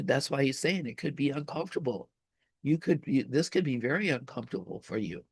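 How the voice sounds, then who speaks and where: A man leading a spiritual study group in an informal, conversational talk, often halting as he searches for words. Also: mid-range voice, vocal fry, dry recording.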